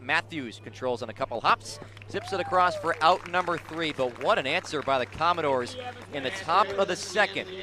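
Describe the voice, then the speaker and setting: A man calling baseball play-by-play for live radio, describing each moment in detail and rising with the action. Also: a little high. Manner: descriptive, rising excitedly on big plays. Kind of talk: live radio sports commentary.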